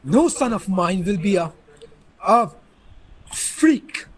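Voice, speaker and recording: A man's voice: sits high, big pitch swings, slow pace, exaggerated voice. A man with an Indian accent playing a harsh, contemptuous disciplinarian father in an amateur radio play. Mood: angry, contemptuous. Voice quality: rough, husky.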